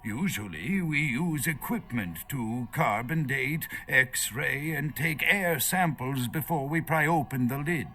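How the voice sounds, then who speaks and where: A man's voice: medium pitch, animated, slow, few pauses, pushed and bright, soft background music. An actor playing a nervous, erudite archaeologist in a video game, lecturing hesitantly as he searches for words. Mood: earnest, lecturing. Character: nervous, erudite male foreign archaeologist held captive.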